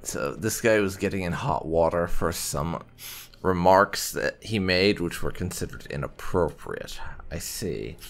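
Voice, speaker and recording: A man giving video game commentary in a deliberate, musing way, sometimes reading dialogue aloud. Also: deep voice, expressive, gravelly. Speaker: let's-play gamer. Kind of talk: roleplay gameplay commentary. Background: video game music.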